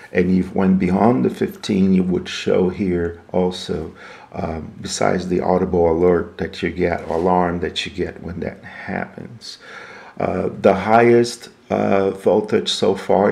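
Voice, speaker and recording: Gravelly, very low-pitched man explaining gear. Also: darkish tone. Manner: explanatory, step by step, asks and answers own questions. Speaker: product reviewer. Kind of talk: casual unscripted equipment walkthrough.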